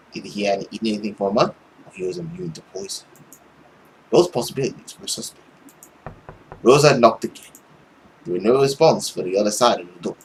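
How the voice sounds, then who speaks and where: A young man narrates a visual novel aloud for a gaming video. His reading is animated and dramatic, with casual asides. He is recorded on a headset indoors with faint background noise. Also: mid-range voice, low-quality recording. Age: young adult.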